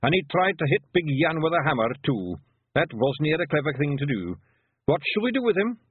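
A man's voice: fairly high pitch, very animated, normal speed, husky, no echo, phone-quality sound, voice pushed up. A man voicing a tiny, brash, cheerfully bossy pictsie leader with a Scottish accent in a storybook reading. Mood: urgent. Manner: exclamatory, commanding, plain-spoken dialect talk.

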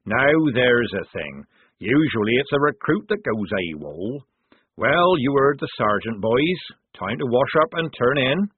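A man's voice: mid-range voice, sing-song, unhurried, slightly rough, dry recording. Man playing a colloquial, emphatic barrack-room soldier. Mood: wry. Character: streetwise soldier, admiring teller of barrack tales. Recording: narrow phone-like sound.

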